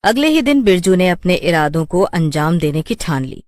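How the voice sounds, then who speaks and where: A woman's voice: lowish voice, very animated, moderate pace, a little mellow, dry recording. A woman narrator telling a scripted story in a storytelling style.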